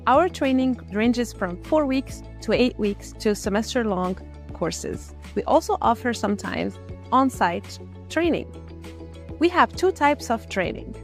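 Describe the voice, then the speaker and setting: A woman's voice: on the high side, expressive, measured pace. Algerian woman, scripted promo over music. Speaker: founder presenting an e-learning platform. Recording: music behind the voice.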